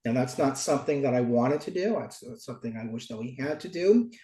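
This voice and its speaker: A middle-aged American man, stuffy with a cold, giving deliberate, articulate interview answers as a local politician. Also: medium pitch, lively intonation, dark tone. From Oakland, California, United States. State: stuffy from a cold, cough drop in mouth. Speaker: local politician, former mayor. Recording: roomy, echoing sound.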